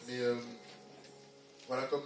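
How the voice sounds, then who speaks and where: A man's voice: mid-range voice, takes it slowly, music in the background. Man, has a cold, upbeat, church hall echo, heard from afar. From Belgium. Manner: energetic, upbeat. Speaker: guest ministry speaker. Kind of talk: church announcement to a congregation. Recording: echoey hall from far off.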